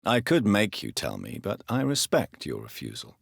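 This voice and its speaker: Deep, husky English gentleman vampire doctor. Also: wildly swooping pitch, dry recording, clean studio sound, heavily acted. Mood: stern, questioning. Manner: formal, measured, earnest, intense. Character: grave, courteous gentleman doctor turned vampire, brooding and principled. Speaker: video game lead character voice. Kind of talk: dramatic video game acting.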